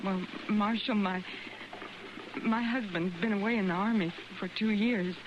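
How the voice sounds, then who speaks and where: An American actress playing an anxious, ashamed frontier wife who stammers timidly in a radio western. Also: female voice, mid-range voice, steady tone, a little slow, natural voice. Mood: nervous, ashamed. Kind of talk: 1950s radio western acting. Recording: muffled, noisy old radio recording.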